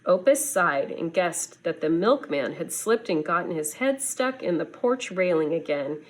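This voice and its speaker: Slow-paced woman reading a storybook. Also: fairly low pitch, rough recording. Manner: expressive, dramatic storytelling. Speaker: schoolteacher reading to students. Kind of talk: children's storybook read-aloud.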